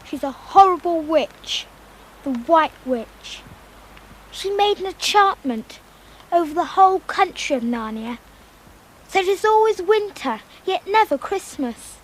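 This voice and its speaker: A woman's voice: extremely high pitch, expressive, unhurried, slight background noise, rough recording. An actress playing an earnest, kind-hearted little British schoolgirl in a radio drama. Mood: apprehensive.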